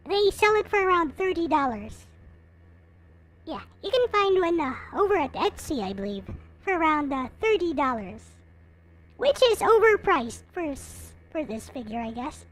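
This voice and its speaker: A woman giving a casual, unscripted show-and-tell as a vlogger, in a halting way as she thinks aloud. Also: very high-pitched. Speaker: vlogger showing collectible figures.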